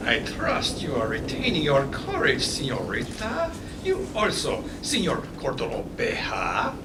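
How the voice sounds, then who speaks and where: A man's voice: medium pitch, wildly swooping pitch, a little slow. A man playing a sinister, courtly Spanish-speaking man in an old-time radio play, with formal, florid phrasing. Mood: ominous, courtly. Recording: noisy, echoey live convention stage.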